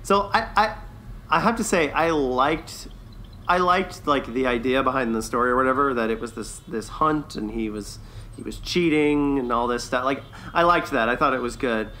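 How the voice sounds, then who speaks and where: Male podcast co-host, bright mid-range voice, enthusiastic casual reviewer, noisy recording. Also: medium pitch, normal speed. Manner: informal, enthusiastic, laughs often. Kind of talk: casual chat, review discussion.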